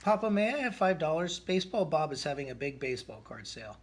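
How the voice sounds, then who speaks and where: Man reading as husky eager boy bear cub. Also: a little high, sing-song, quick pace. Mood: eager pleading. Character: eager young brother bear cub in a storybook.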